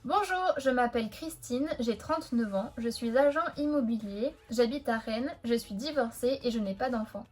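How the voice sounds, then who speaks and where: A high-voiced estate agent in her late thirties speaks plainly with a touch of doubt, voiced by a woman. A simple cartoon contestant. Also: moderate pace, hardly any pauses, a little mellow, faint music behind, lightly acted. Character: 39-year-old estate agent woman, unsure.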